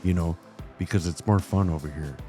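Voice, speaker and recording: A man's voice: deep voice, a little fast, dark tone. A man reading a scripted, dramatic podcast introduction as its narrator. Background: plucked guitar music.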